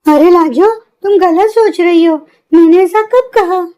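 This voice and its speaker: A woman voicing a meek, kind young crow bride in a cartoon story, gentle and deferential. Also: very high-pitched, measured pace. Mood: pleading. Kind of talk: Hindi cartoon story acting.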